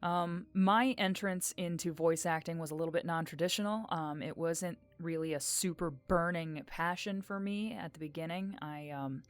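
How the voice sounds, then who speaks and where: A woman voice actor giving a casual, reflective testimonial in a halting, conversational way. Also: lowish voice.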